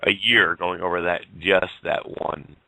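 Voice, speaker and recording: Hoarse host guy rambling along, folksy, low gravelly voice, laughs a lot. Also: steady tone, unhurried, pushed and bright, no room sound, low-quality recording. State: getting hoarse. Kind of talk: casual call-in talk.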